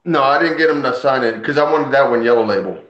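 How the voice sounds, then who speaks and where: A man's voice: mid-range voice, quick pace, fairly bright tone. A man from Puerto Rico, Spanish his first language, casually chatting as host about comics with enthusiastic, rambling stories. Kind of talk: casual chat. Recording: dull roomy sound.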